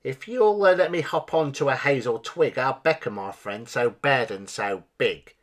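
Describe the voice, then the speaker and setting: A man's voice: mid-range voice, moderate pace, natural voice. A man voicing a small, sly male mouse who coaxes and bargains in a storybook. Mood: coaxing.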